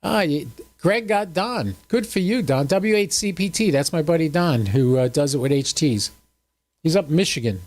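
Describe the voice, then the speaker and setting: A man's voice: fairly high pitch, lively intonation, normal speed. A man in his seventies hosting a livestream, chatting casually with viewers in a folksy, joking, rambling way. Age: 70s. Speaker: host of a ham radio livestream.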